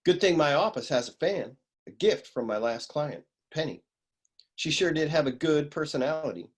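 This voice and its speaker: Man acting as grumbling private eye, highish male voice, swooping delivery, cynical wisecracks, radio noir comedy. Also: expressive, normal speed, slightly rough. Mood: musing. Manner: world-weary, grumbling, crude wisecracks.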